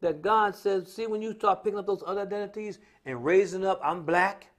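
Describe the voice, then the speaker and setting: High-voiced, emphatic American man preaching off the cuff. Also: fairly quick. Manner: emphatic, repetitive, colloquial storytelling. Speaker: speaker on a religious talk live stream. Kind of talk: impromptu preaching-style social commentary.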